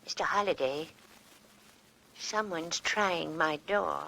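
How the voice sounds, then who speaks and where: An actress playing a meddlesome, excitable and prim elderly lady amateur sleuth in a 1940s radio drama. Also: lowish voice, slow, vocal fry, full character voice, rough recording. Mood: anxious. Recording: vintage 1940s radio recording.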